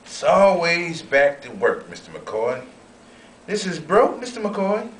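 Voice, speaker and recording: A man playing a proud, eager young railroad oilman and inventor in a folksy, expressive amateur sketch read-through. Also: fairly high pitch, slow pace. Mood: frustrated. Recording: roomy, distant, noisy amateur recording.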